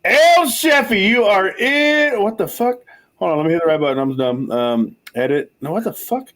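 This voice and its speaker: A man's voice: high voice, lively intonation, moderate pace, a bit bright. Forties male stream host, loose and self-deprecating, cusses freely, rambles on. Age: 40s. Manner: rambling, swearing, self-mocking. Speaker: livestream host. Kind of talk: casual livestream chat.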